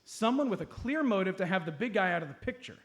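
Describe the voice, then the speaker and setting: A man's voice: high-pitched, animated, fast talker, fairly bright tone. A man performing live comedic radio drama in a mock-serious, take-charge manner.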